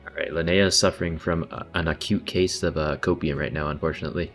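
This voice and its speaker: A man with a low voice comments casually on a game as he streams it. His delivery is offhand and slangy, with a wry edge. Game music plays behind him. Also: steady tone, quick pace, creaky, fairly bright tone. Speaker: livestreamer.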